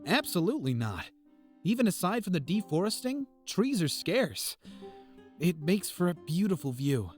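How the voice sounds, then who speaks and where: A man's voice: high voice, sing-song, normal speed, darkish tone, soft background music. A man voicing an assured, friendly beast-folk mountain guide in a visual novel game, breezy and reassuring.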